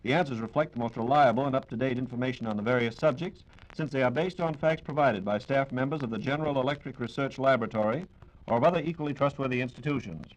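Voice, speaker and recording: A man's voice: medium pitch, fast talker, low-quality recording. An American man hosting a 1940s radio program in a formal, polished and courteous announcing style.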